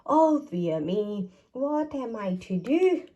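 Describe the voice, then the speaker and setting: Kindly old Father Christmas, acted by a woman, storybook, musing, worried, very slow, expressive. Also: fairly high pitch, highs cut off. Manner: slow, sighing, musing to himself. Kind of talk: children's storybook read-aloud.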